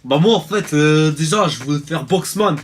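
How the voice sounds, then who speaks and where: A man's voice: a little high, expressive, moderate pace, slight background noise. A teenage male, talking casual street slang as a crude, boastful mock gangster. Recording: music playing under the voice.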